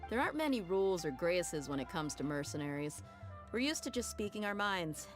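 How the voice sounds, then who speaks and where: A woman's voice: on the low side, very animated, fairly quick, faint music behind. A woman voicing a tough, blunt mercenary leader in a game, talking brashly and teasingly. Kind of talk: game character dialogue.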